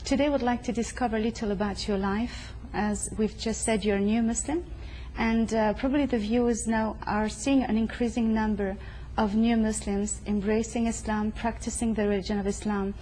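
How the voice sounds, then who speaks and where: A woman's voice: a little high, fairly even intonation, normal speed, few pauses, mellow, slight background noise, rough recording. A woman hosting an interview show, putting formal, welcoming questions to a guest at her home. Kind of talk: hosted interview.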